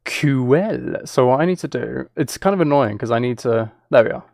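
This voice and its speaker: A man's voice: medium pitch, lively intonation, normal speed, gravelly, darkish tone, dry recording, studio quality. A man chatting casually as he hosts a livestream, rambling and thinking aloud. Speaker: streamer.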